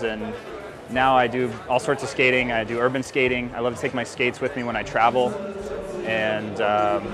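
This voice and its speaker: Easygoing man in his twenties. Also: mid-range voice. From California. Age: 20s. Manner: easygoing, relaxed with fillers. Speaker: skater. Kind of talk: interview to camera.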